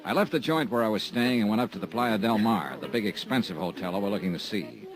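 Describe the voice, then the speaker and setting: An actor playing a wisecracking insurance investigator in a 1950s radio drama, talking dry and laconic. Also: male voice, on the low side, lively intonation, fast, poor audio. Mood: narrating. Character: wry tough male private insurance investigator. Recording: old radio recording with music.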